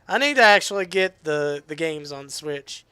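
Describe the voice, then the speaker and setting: A hoarse, sick young man chatting casually while livestreaming a game, laughing and answering chat. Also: on the high side, expressive, normal speed, pushed and bright, no echo. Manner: chatty, laughs often, reads chat aloud. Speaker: streamer. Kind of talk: casual gaming livestream commentary.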